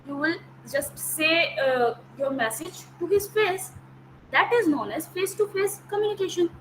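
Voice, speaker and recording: High bright-voiced woman teaching online. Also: lively intonation, moderate pace, a little hiss or hum, poor audio. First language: Hindi. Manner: explains step by step, repeats key terms, checks understanding. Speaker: academic counsellor. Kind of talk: online lecture. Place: online class. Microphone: video call.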